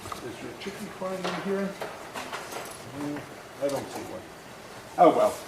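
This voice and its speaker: A man in his seventies reading a story aloud to children in a folksy, interactive, animated way, as an author before a young audience. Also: on the high side, a little slow. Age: 70s. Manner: folksy, interactive, acts out moments. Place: indoor room before a school audience. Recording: roomy echo, faint background noise.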